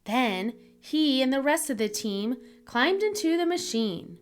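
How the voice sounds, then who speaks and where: A woman with a fairly high voice reads a picture book aloud to young children. Her delivery is very animated and unhurried, with careful, expressive phrasing. Music plays faintly behind her. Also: clear, resonant tone. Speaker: children's book reader, channel host.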